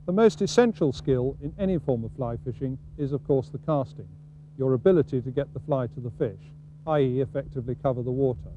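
A man's voice: mid-range voice, warm tone. A middle-aged man, giving a methodical, explanatory fly-casting demonstration outdoors. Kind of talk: instructional demonstration to camera. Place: outdoors on a riverbank. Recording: noisy outdoor video sound with hum.

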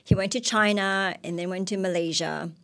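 A woman's voice: medium pitch, steady tone, normal speed, a bit bright. Woman in her thirties from Mauritius, interviewed. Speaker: missionary interviewee. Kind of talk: personal testimony in an onstage interview. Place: indoor stage with curtain backdrop. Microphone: handheld microphone.

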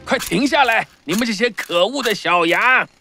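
A man voicing an angry, villainous wolf in a children's cartoon, scolding in a gravelly, menacing voice. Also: high voice, expressive, normal speed. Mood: angry, frustrated. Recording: music behind the voice.

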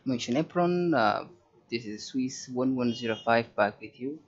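A man giving halting, think-aloud flight simulator commentary and pilot radio calls. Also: mid-range voice, animated, breathy edge, highs cut off. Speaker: host, virtual pilot.

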